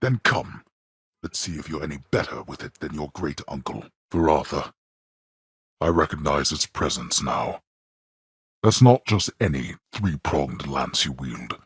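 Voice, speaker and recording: A man's voice: lively intonation, measured pace, fairly bright tone, no echo, exaggerated voice. An actor playing a stern, proud god of the dead who scorns his son, speaking with cold, imperious contempt in video game voice lines. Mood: scornful. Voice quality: deep, raspy, gravelly. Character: stern proud male god of the dead, a harsh disapproving father. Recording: dry close studio recording.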